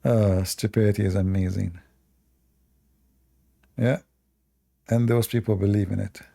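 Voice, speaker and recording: Gravelly, sarcastic man hosting a livestream. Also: on the low side, steady tone, unhurried, dark tone. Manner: sarcastic, mocking. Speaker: livestream debate host. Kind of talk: live-stream debate commentary.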